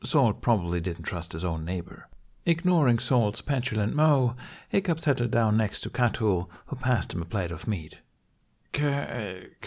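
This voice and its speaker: A man's voice: fairly low pitch, gravelly. A man reading a scripted story aloud as an audiobook narrator.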